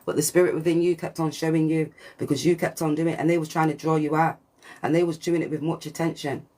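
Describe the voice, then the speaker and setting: A woman with a deep voice giving a channelled spiritual reading, preachy and repetitive, with swearing and slang. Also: fairly even intonation, quick pace, mellow. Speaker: spiritual reader. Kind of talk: channelled collective reading monologue.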